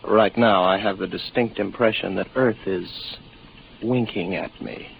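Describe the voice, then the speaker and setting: A man's voice: deep voice, measured pace, creaky. An actor playing a weary, lonely young spaceman, wry and rambling, in a 1950s radio drama. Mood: wry, musing. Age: 20s. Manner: wry, musing, sardonic. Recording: noisy muffled 1950s radio recording.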